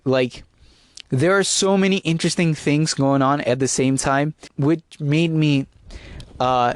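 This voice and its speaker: A man's voice: fairly high pitch, no echo, studio quality. Casual Nepali man talking solo. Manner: rambling, many fillers and restarts. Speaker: podcast host. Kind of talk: casual solo podcast talk.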